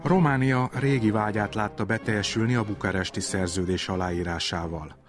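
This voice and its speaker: Low-voiced man narrating history. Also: steady tone, over music. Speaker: documentary narrator.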